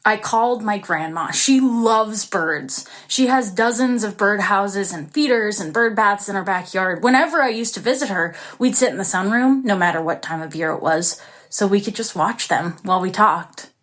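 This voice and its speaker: A woman's voice: medium pitch, normal speed, a bit bright, lightly acted. An actress playing an isolated, anxious young woman who recounts her story in an intimate, confessional way for an audio drama. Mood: wistful. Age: young adult. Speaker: actress performing a monologue. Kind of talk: dramatic first-person audio drama narration.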